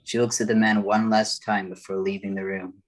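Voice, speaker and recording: A man's voice: fairly low pitch, little pitch movement, brisk. An older man serving as announcer, reading scripted narration and stage directions for an amateur radio play.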